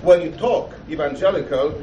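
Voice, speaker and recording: A man with a Romanian accent asking a halting, hesitant question from the audience in a lecture hall. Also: fairly high pitch, warm tone, highs cut off. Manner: hesitant, many fillers. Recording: echoey hall, voice from far off, background noise.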